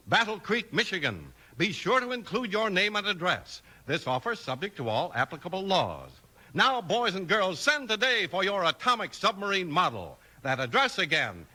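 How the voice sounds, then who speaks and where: Lively, forceful American radio announcer man. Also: sits high. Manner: punchy, emphatic salesmanship. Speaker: announcer and narrator. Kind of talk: 1950s radio advertising and dramatic narration.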